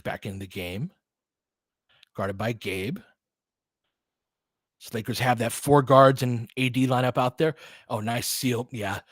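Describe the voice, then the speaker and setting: A man calling basketball play-by-play as a fan commentator, clipped and quick, breaking into excited bursts and short laughs. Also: medium pitch, no echo, studio quality.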